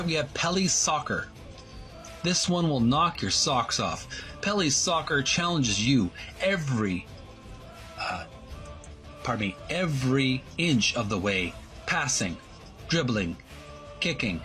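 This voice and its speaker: Halting man presenting over music. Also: mid-range voice, fairly bright tone. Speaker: host of a retro video game channel. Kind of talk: read-aloud book walkthrough with commentary.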